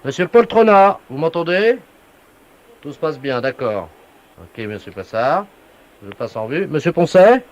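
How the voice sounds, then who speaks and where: A man playing a brisk, earnest utility official on a prank call, giving residents instructions in a businesslike deadpan. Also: a little high. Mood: directing, official. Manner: businesslike, instructing, repeated tag questions. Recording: dull, lo-fi radio prank recording.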